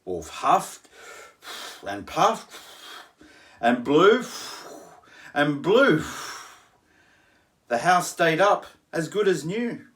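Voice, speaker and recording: A middle-aged man reads a rhyming children's story at an unhurried pace. His pitch swoops widely, and he adds dramatic pauses and playful asides. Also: big pitch swings, a bit bright. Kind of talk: animated read-aloud of children's rhyming story. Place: small home living room, in an armchair.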